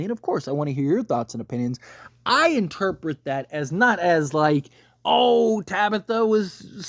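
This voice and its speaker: Male commentator, slightly high voice, casual and emphatic, circling back to stress points, closely recorded with a dry sound. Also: dry recording. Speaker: video creator reviewing a TV series.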